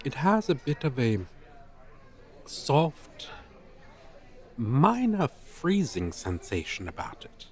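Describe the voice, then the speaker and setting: A man's voice: mid-range voice, huge pitch swings, slow, pauses often. A man voicing a proud, scornful dragon teacher in a roleplay, speaking in pompous, stilted, formal phrases. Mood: dismissive, haughty. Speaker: roleplay voice performer. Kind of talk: ASMR roleplay monologue, theatrical.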